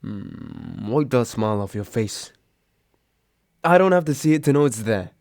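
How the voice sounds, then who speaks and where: A man's voice: mid-range voice, animated, measured pace, darkish tone, dry recording, studio quality. A young man acting a grumpy, sarcastic but secretly caring roommate woken from sleep, grumbling and sighing in an intimate roleplay audio drama. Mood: grudgingly giving in. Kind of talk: intimate roleplay audio drama, one side of a phone call.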